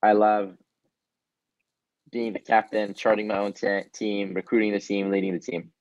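A man's voice: on the low side, normal speed, no room sound. A young man whose first language is Hebrew, a startup founder answering interview questions energetically on a video call. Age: young adult. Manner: energetic, gesturing while he talks. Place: small room indoors. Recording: dull, dry video call audio.

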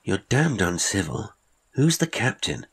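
A man's voice: on the low side, sing-song, measured pace, breathy edge, pitched above the performer's own voice, full character voice. A man voicing a worn-out, irritable castaway who fires off brusque questions. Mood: impatient. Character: exhausted, irritable shipwrecked Englishman.

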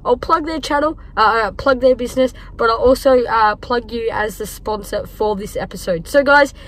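An Australian woman in her thirties with a croaky voice, chatting casually to camera from a parked car on a phone held at arm's length. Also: on the high side, normal speed, a bit bright. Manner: chatty, upbeat, many fillers. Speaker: vlogger. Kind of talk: casual vlog talk to camera.

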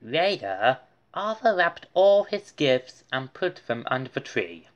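A woman's voice: rumbling low, big pitch swings, slow pace, dull audio. A woman reading a children's storybook aloud as narration. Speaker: storybook reader.

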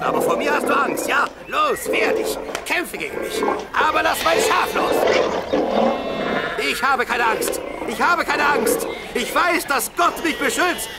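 An actor playing a plucky, devout young shepherd, the youngest brother, with earnest, dramatic delivery in an audio drama. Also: male voice, very high voice, full character voice. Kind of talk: German audio drama acting. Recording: clearly noisy radio play with sheep sounds.

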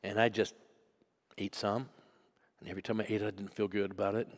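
Storytelling pastor in a reverberant church. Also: male voice, on the low side, level tone, brisk. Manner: storytelling, swings from loud to hushed. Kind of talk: sermon. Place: church sanctuary.

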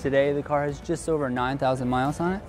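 A man in his thirties, an auction car specialist, explaining in an interview in a halting, measured way. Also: medium pitch, steady tone, music in the background. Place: large tent with cars on display.

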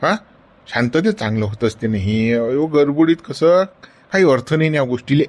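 An elderly friend, played by a man speaks with a Varhadi accent, alternately coaxing and chiding. His voice sits at a middling pitch, with a rustic drawl. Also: normal speed, natural voice. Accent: Varhadi Marathi. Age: older. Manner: coaxing, chiding with repeated "गड्या". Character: old male friend, coaxing and scolding.

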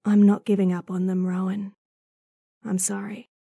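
A woman's voice: mid-range voice, level tone, moderate pace, vocal fry, mellow, slightly rough, no room sound, clean studio sound. A woman playing an idealistic, stubborn young werewolf in an audio drama, earnest and defiant but restrained. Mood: apologetic, firm. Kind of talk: audio roleplay drama acting.

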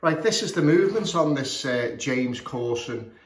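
A man's voice: on the high side, animated, moderate pace. A man with a Liverpool accent, a clock repairer, talking casually to camera about a clock, hesitant with many fillers and restarts. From Liverpool, England. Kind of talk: casual show-and-tell commentary. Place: room full of clocks, indoors. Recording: echoey room with faint noise.

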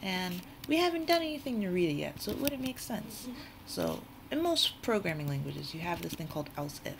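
A woman's voice: on the low side, very animated, fairly quick, vocal fry. A woman giving a casual spoken coding tutorial, informal and thinking aloud as she goes. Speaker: tutorial host. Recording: noisy room with keyboard typing. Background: computer keyboard typing, loud background noise.

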